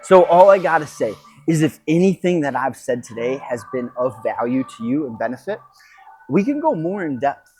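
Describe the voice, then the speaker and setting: A man speaking off the cuff as a podcast host and coach, in an emphatic, halting motivational monologue with pauses and repetition. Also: mid-range voice, very animated, a little mellow, breathy edge.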